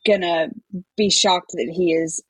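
A woman's voice: lowish voice, fairly even intonation, measured pace, breathy edge, no echo. A woman co-hosting a podcast, chatting casually through a recap. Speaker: podcast co-host.

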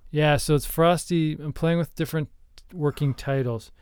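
A man co-hosting a comedy podcast, chatting casually in a deadpan, mock-earnest way. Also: fairly high pitch, moderate pace, smooth, no echo, clean studio sound. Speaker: podcast co-host.

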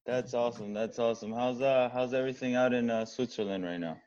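A man's voice: medium pitch, steady tone, fast, darkish tone. A man hosting a podcast interview, friendly and upbeat with slangy greetings. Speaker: podcast host. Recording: dull lo-fi audio.

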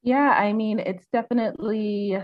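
A woman's voice: mid-range voice, steady tone, moderate pace, no echo, lo-fi sound. A young woman raised in the United States, speaking reflectively as a guest in a casual interview, with many "um"s and "you know"s.

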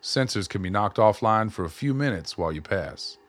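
A man's voice: on the low side, lively intonation, normal speed, lightly acted. A man playing an easygoing, shrewd older smuggler in a radio drama, talking in a folksy, plain-spoken way. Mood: assured, folksy. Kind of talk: audio drama acting.

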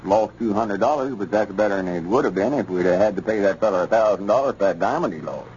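An actor playing a self-assured country storekeeper in 1930s radio comedy, speaking in a rural Southern drawl with a teasing, matter-of-fact manner. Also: male voice, on the low side, animated, fast talker, darkish tone, close to an everyday voice. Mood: reflective. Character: self-assured country storekeeper Lum, middle-aged man. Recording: dull old radio recording with faint hiss.

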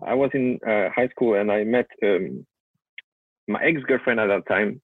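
A young French man with a French accent, a photographer telling his story in a casual interview, often halting and repeating words as he searches for English. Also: on the low side, slightly rough, no echo. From France. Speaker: guest photographer. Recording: tinny call audio, dry.

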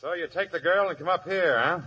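An actor playing a gruff, suspicious outlaw gang boss with a curt, commanding manner in a 1950s Western radio drama. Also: male voice, fairly high pitch, fairly quick, bright, forceful tone, highs cut off. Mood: businesslike. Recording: vintage 1950s radio broadcast.

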